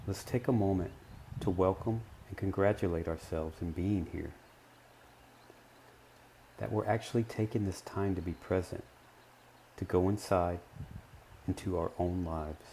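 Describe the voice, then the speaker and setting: A man leading a guided meditation, speaking gently and in measured short phrases with long pauses between them. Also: low-pitched, slight background noise.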